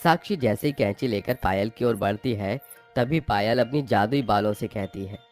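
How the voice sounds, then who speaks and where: A man's voice: lowish voice, moderate pace, darkish tone, soft background music. A man narrating scripted moral tales for a cartoon as the storyteller.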